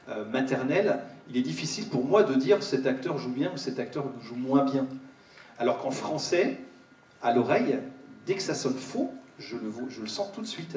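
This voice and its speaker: Male panel host, halting, repetitive delivery, recorded in a reverberant hall. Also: medium pitch, a little mellow. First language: French. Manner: halting, repeats words for emphasis. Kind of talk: spontaneous spoken remarks at a live panel. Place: large cinema auditorium. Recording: echoey hall, distant microphone.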